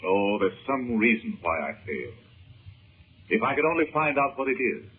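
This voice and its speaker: An actor playing a grave, protective uncle in a 1930s radio serial, speaking in a measured, earnest way. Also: male voice, mid-range voice, sing-song, slight background noise, lightly acted. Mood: troubled, subdued. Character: troubled, grave uncle who works magic, protective and subdued. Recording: muffled, tinny 1930s radio recording.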